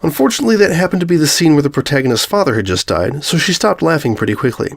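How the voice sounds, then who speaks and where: A man's voice: on the high side, sing-song, rapid-fire, gravelly. A man reading a scripted story aloud as its narrator.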